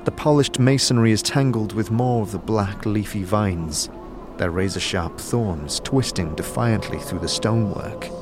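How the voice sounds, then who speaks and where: A man with a low voice narrates a fantasy tale in scripted, second-person storytelling. Music plays clearly behind him throughout. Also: measured pace.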